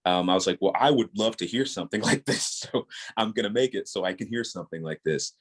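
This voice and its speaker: Male, thirties, low-pitched. Earnest, encouraging creator chatting in a casual interview. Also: fast. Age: 30s. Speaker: guest, audio drama creator.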